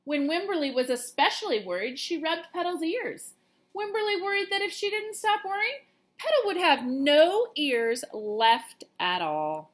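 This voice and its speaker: High-pitched, animated woman reading a storybook. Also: unhurried. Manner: expressive, emphatic storytelling. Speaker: teacher reading aloud. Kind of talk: children's storybook narration.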